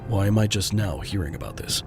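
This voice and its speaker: A man's voice: fairly low pitch, expressive, a little fast. A man playing a grim, terse vigilante detective in an audio drama, with a curt, commanding, brooding manner. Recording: loud music under the voice.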